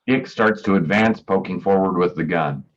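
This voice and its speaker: A man's voice: low voice, normal speed. A man reading stage directions as scripted narration, on a slightly dull recording.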